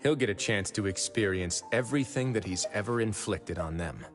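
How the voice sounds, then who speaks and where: An actor playing a composed, dryly menacing prison warden duke in a fantasy game, calm and wry. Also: male voice, on the low side, a little fast, natural voice. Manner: composed, wry, quietly threatening. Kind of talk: game character voice acting. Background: game music.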